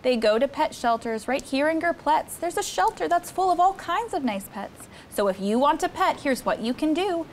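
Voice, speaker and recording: A young woman hosting a children's story time, reading aloud in an expressive, sing-song way and chatting with the kids. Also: high-pitched, normal speed, hardly any pauses, slight background noise. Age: young adult. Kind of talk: animated read-aloud to children, with chat. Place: indoor studio set with children seated on a rug.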